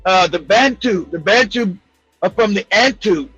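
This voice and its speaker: A man hosting a casual talk show, speaking in a profane, excitable way and laughing often. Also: sits high, unhurried, breathy edge, no echo, highs cut off, soft background music. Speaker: host.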